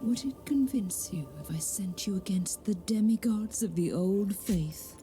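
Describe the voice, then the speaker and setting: A woman playing an ancient, imperious sorceress goddess in a fantasy game, speaking in a theatrical, commanding way with a breathy, whispery edge. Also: medium pitch, mellow, lightly acted. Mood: sly, commanding. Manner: commanding, haughty, theatrical, deliberate. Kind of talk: dramatic fantasy game voice acting. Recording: dramatic music behind the voice. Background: music.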